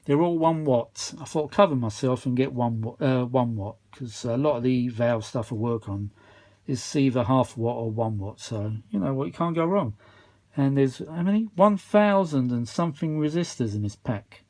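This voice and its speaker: A man's voice: mid-range voice, moderate pace, darkish tone. A man giving casual, unscripted workshop chat as a repair vlogger, rambling along with a mildly weary grumble. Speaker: vintage audio repair vlogger.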